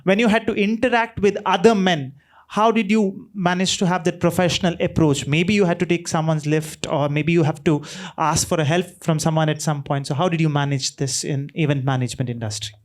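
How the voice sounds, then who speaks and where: A man's voice: a little high, steady tone, a little fast. A man moderating a panel, asking long, roundabout questions with light jokes. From India.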